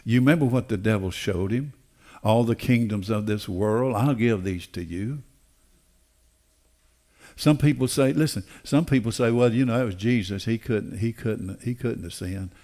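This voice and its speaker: Older male preacher, fairly deep voice, emphatic and deliberate delivery from a church stage. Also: dark tone. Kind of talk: sermon. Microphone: headset.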